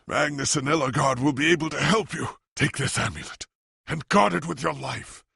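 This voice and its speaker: An actor playing a noble, ageing adventurer hero in a video game, solemn and earnest, with a gravelly, husky voice and a grave, formal, dramatic delivery. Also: male voice, a little high, moderate pace, bright, forceful tone, dry recording, studio quality, exaggerated voice. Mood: urgent.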